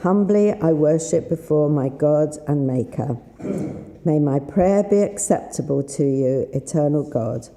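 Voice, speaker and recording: A woman with a slightly husky voice, leading a synagogue service in a chatty, unhurried way with fillers. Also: low-pitched, lively intonation, dark tone. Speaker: service leader. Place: synagogue sanctuary. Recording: roomy sanctuary sound with faint noise.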